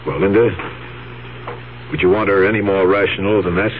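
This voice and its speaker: A man acting as a practical, dutiful nephew who has grown weary. His voice sits fairly low, in the style of seventies radio drama. The sound is narrow and tinny, with some hum and noise. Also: fairly even intonation, brisk, natural voice. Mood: reassuring. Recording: tinny, humming 1970s radio broadcast.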